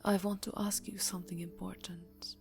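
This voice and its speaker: A woman playing a seductive, aristocratic vampire countess in an ASMR roleplay, teasing and formal, with a breathy voice that often drops to a whisper. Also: lowish voice, little pitch movement, moderate pace, darkish tone. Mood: seductive, tender. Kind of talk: ASMR roleplay, slow intimate acting. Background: music.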